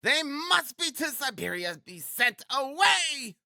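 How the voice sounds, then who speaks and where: An outraged landlady played by a male reader shrieks in a dramatic audiobook. The voice is very high and piercingly sharp, exclaiming in big swoops. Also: big pitch swings, slow pace, gravelly, no echo, clean studio sound, full character voice. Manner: screeching, exclamatory. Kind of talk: dramatic audiobook character acting.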